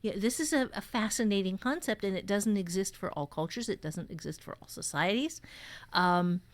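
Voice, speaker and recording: A woman's voice: medium pitch, moderate pace. Female workshop teacher and co-host; conversational, explanatory, stresses and repeats key points. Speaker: fiction writing teacher and co-host.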